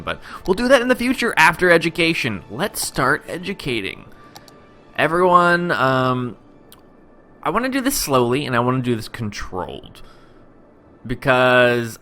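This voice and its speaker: Young man, video game commentary, just woken up, rambling, lively up-and-down pitch. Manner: rambling, self-interrupting, chuckling asides. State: just woken up, voice lower than usual. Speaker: gaming video host. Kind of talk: casual let's-play commentary.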